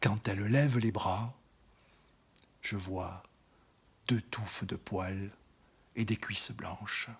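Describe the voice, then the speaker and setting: A man recites poetry in a deep, heavily breathy voice. He takes his lines at a very slow, deliberate pace, sinking into hushed whispers and then rising on certain phrases. The delivery is theatrical and intimate. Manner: drops often into an intimate whisper; expressive, swells louder on some phrases.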